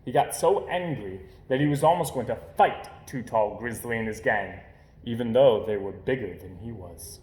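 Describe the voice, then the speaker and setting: A man reading a children's picture book aloud with animated, dramatic emphasis. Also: on the low side, normal speed, echoey room. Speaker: storybook reader.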